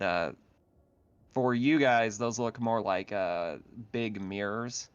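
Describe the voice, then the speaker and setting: A man running a tabletop roleplaying game as game master, describing scenes in a halting, improvised way. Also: on the low side, clear, resonant tone, dull audio.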